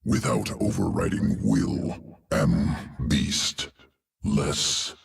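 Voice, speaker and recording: An actor playing an ancient alien creature-shaper who speaks in clipped, broken phrases with a deep gravelly voice. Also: male voice, wildly swooping pitch, drawn-out words, full character voice. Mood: cold, flat. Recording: echoey and distant over music.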